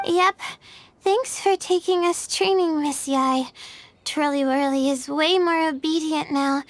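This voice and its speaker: A sleepy, high-voiced young student. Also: female voice, dry recording. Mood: earnest. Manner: soft, drowsy, earnest. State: tired, short of breath. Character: sleepy young female student, earnest and worn out. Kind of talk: video game voice acting.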